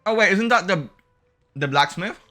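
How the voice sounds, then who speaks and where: A man's voice: sits high, normal speed. A young man giving casual, slangy game playthrough commentary and reading dialogue aloud as he plays. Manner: slangy, animated reactions. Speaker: game streamer. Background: game music.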